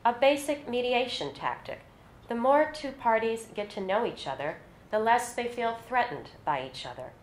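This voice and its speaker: A woman's voice: on the high side, expressive, normal speed, a little hiss or hum. A woman reading her own literary short story aloud at a live indoor event. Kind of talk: literary reading of scripted fiction to an audience. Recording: roomy, echoey live event recording.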